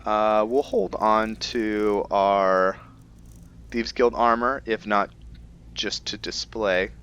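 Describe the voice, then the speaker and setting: A man's voice: fairly low pitch, slow, fairly bright tone, clean tone. A man giving casual roleplay gameplay commentary, thinking aloud and searching for his words. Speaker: gaming video host.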